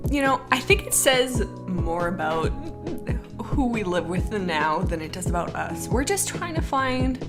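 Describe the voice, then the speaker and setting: A woman's voice: fairly low pitch, very animated, unhurried, over loud music. A woman voicing a sassy, dramatic female warrior cat in improvised, bantering fan Q&A, theatrically indignant with her sibling. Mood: playful, smug.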